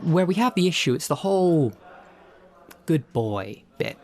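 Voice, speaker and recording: A man's voice: fairly high pitch, big pitch swings, unhurried. Guy doing awkward manager type, stammery and placating, audio rp dialogue. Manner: stammering, tactful, evasive. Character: awkward, placating male studio manager giving notes.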